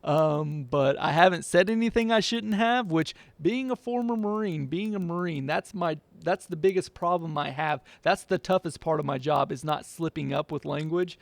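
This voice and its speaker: Upbeat gravelly-voiced TV weatherman. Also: on the high side, moderate pace, hardly any pauses, no room sound. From Oklahoma. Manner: upbeat, chatty, laughs often. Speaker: TV meteorologist. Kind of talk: casual Q&A monologue.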